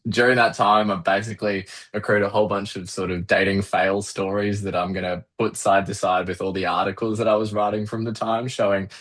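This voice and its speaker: Low-voiced Australian man, wry comedian. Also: brisk, hardly any pauses. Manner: wry, self-deprecating, animated storyteller. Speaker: interview guest, comedian. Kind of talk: casual interview chat.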